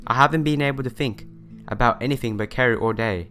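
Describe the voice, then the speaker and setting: A man's voice: medium pitch, fast, fairly bright tone. A young man reading visual novel narration aloud as a let's player. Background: music behind the voice.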